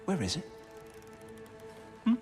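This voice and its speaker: Man playing a slow, menacing, vengeful villain. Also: darkish tone, soft background music, close to an everyday voice. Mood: cold menace. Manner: deliberate, sneering. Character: menacing, vengeful brother, cold and taunting villain. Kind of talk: video game character acting.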